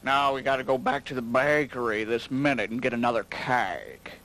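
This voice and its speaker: A man's voice: medium pitch, animated, moderate pace, a bit bright. A man voicing a hip, laid-back tiger in a puppet show, talking in cool-dude slang. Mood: exasperated. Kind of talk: puppet show character acting.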